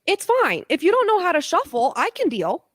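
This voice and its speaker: A woman's voice: very high voice, huge pitch swings, normal speed, fairly bright tone, heavily acted. A woman playing a pushy, cheerfully intrusive weather supervillain in a comedic radio play over a video call. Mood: breezy, pushy.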